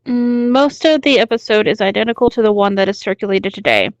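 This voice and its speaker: A woman's voice: mid-range voice, brisk, no echo, dull audio. A woman co-hosting a podcast, commenting casually and reading aloud. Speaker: podcast co-host reading and discussing creepypastas.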